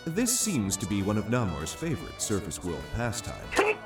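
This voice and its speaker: A man's voice: lowish voice, huge pitch swings, moderate pace. A man giving scripted, storytelling narration for a cinematic audio drama. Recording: music bed under narration.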